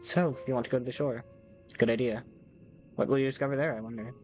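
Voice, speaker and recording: A man's voice: medium pitch, fairly even intonation, fast, a little mellow, muffled recording, faint music behind, natural voice. A man reading as a courtly, possessive narrator. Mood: teasing. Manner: ornate and courtly, speaking straight to the player. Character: formal, devoted, possessive visual novel narrator. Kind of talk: reading game text aloud.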